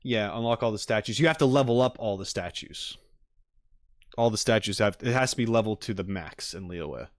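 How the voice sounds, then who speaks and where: A man chatting casually on a livestream as he reacts to game trailers, rambling in an animated, self-deprecating way. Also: lowish voice, brisk, no room sound, clean studio sound.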